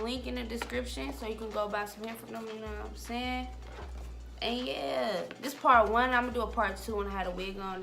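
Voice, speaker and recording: A woman's voice: on the high side, vocal fry, fairly bright tone. A young American woman chatting casually to camera as a vlogger, in a loose, slangy, rambling way. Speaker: vlogger giving a wig-making tutorial.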